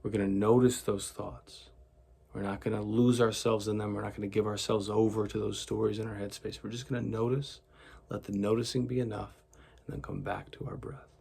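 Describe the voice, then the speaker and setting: A man leading a guided meditation in a gentle, soothing, deliberate way, with a gravelly, husky voice. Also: lowish voice, flat delivery.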